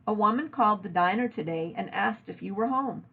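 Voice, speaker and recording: A woman's voice: mid-range voice, expressive, brisk, gravelly, breathy edge. A woman voicing a firm, motherly woman speaking to her young daughter in a storybook read-aloud. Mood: tense, firm. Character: tense, weary mother of a young girl. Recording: narrow, phone-like lo-fi audio.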